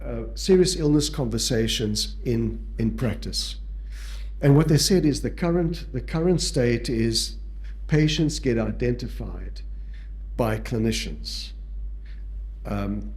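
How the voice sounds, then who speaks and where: A man from South Africa, whose first language is Portuguese, speaks with a South African accent as he gives a conference lecture on palliative care, measured and pausing often with fillers and restarts. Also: mid-range voice, animated, darkish tone.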